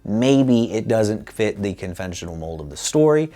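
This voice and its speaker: A man's voice: on the low side, sing-song, moderate pace, warm tone. A man in his thirties co-hosting a casual movie chat, speaking in a playful, emphatic, conversational way. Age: 30s. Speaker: co-host. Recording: music playing behind. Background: background music.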